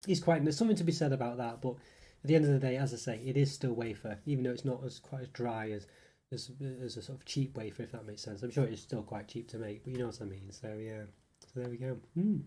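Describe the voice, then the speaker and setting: Man, congested, rambling and hedging, fast talker, dark warm tone. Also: medium pitch, slightly rough. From United Kingdom. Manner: rambling, hedging, many fillers. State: congested from a cold. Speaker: YouTube food reviewer. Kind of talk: casual live-stream review.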